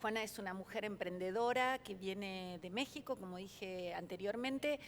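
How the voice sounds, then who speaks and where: A woman's voice: medium pitch, moderate pace, long reverb tail, a little hiss or hum. A woman moderating a panel, politely introducing the next speaker. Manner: polite, orderly.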